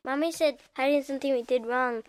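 A man's voice: very high voice, fairly even intonation, brisk, clear, resonant tone. A young boy actor playing a six-year-old boy, earnest and questioning, in an amateur family audio drama. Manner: questioning, whiny, innocent. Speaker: child actor.

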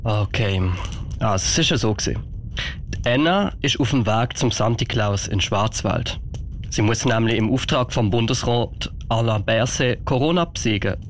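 A kindly Santa Claus acted by a man telling stories in a radio play. He has a medium-pitched voice and a Swiss German accent, patient in tone, with rumbling noise behind him. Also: normal speed, close to an everyday voice. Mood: explaining, storytelling.

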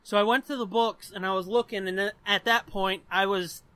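High-pitched, bright-voiced young American man. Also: steady tone, normal speed, clean tone, dry recording. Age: 20s. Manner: profane, informal, rambling. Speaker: podcast host. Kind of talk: casual chat, telling personal anecdotes.

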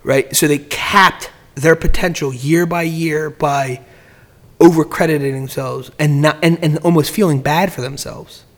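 A man's voice: fairly high pitch, steady tone, measured pace. A man in casual podcast chat, giving business advice in a rambling way, often repeating words and restarting sentences.

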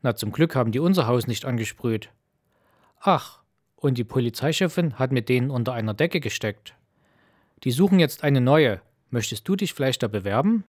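A man's voice: mid-range voice, lively intonation, moderate pace, no echo, clean studio sound, natural voice. A man playing an easygoing father chatting at home in an amateur radio play. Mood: casual, chatty. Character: easygoing father chatting about the newspaper to his wife.

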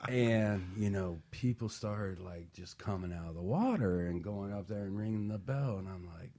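A middle-aged man with a very low, dark voice tells a personal story on a talk show. He talks in a laid-back, halting way, full of fillers and restarts. His tone stays mellow.

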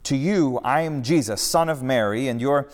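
A man voicing Jesus, a calm, patient male teacher who speaks in measured phrases, in a storybook reading. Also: mid-range voice, in a large hall, pitched above the performer's own voice, lightly acted.

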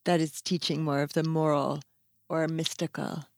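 A woman hosts a podcast conversation in a slightly low voice. She affirms what her guest says and laughs easily. The sound is close and dry. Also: flat delivery, normal speed, creaky, dry recording. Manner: warm, laughs often, affirming. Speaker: podcast host. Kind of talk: casual conversation.

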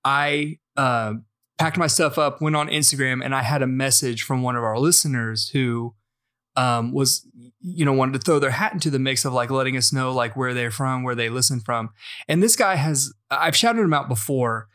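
A man's voice: medium pitch, moderate pace, a bit bright. Casual male podcast host. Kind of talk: casual podcast chat, reading out a listener message.

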